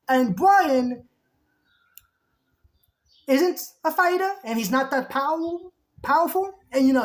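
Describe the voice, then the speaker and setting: A woman giving casual reaction commentary, chatty and rambling, who keeps saying just saying, with a sore throat. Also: high voice. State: sore throat, losing her voice. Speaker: video reactor.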